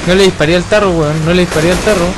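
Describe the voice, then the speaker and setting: A man's voice: high voice, fairly even intonation, very fast, low-quality recording. A man with a Chilean accent chatting casually and giving directions during a co-op game, swearing often. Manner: foul-mouthed, giving directions. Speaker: video game player. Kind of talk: casual co-op gaming chatter with callouts. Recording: game noise loudly over the voice.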